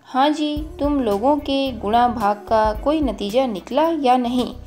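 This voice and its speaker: Male emperor for a storybook female reader: troubled, commanding, familiar with subjects, higher voice, music underneath. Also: unhurried, darkish tone, close to an everyday voice. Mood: impatient. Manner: imperious, addressing subjects familiarly. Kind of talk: storybook character dialogue.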